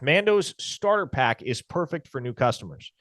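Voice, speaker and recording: A man's voice: a little high, expressive, normal speed, fairly bright tone, no room sound. A man co-hosting a sports podcast, speaking in a dry, wry and articulate way, also reading ads. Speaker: podcast co-host. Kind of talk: casual sports podcast chat and host-read ad.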